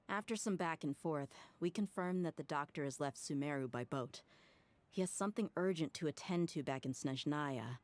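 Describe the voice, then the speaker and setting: A woman playing a plucky, expressive, easily exasperated young ally in a video game, earnest and emphatic. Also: low voice, normal speed, lightly acted. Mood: earnest, hesitant. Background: game music.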